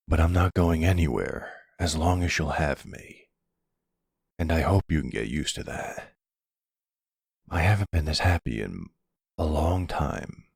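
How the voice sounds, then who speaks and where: Man playing a very deep, gravelly mafia boss. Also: darkish tone, dry recording, clean studio sound, heavily acted. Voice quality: low gravelly, husky. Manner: measured, deliberate, authoritative. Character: older calm mafia boss, protective, commanding boyfriend. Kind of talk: ASMR boyfriend roleplay acting.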